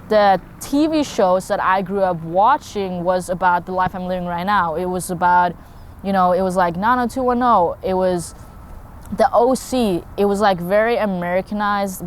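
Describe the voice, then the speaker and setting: A woman's voice: mid-range voice, a little hiss or hum. A young woman from Norway talking casually to camera about her work as a content creator, rambling with lots of fillers and restarts. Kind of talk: casual talking-head vlog.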